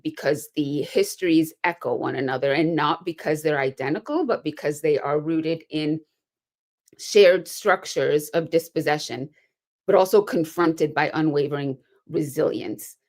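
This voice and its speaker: A low-pitched woman gives earnest, measured remarks as a panelist on a video call. She leans on rhetorical asides to keep her listeners with her. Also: a little mellow. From United States. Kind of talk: prepared remarks in an online panel.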